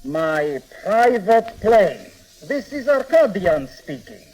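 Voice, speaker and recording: Casting: grand, amused magnate for 1950s radio drama, high voice, animated pitch, clipped English. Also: male voice, heavily acted, low-quality recording. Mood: imperious. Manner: slow, deliberate, self-important, clipped broken English. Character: imperious, mysterious foreign tycoon, amused by his own power.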